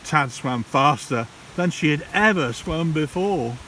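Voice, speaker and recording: A man, a primary school teacher, reads a children's storybook aloud in a theatrical, deliberate way with dramatic pauses. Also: a little high, expressive, bright, forceful tone. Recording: distant mic, hissy background noise.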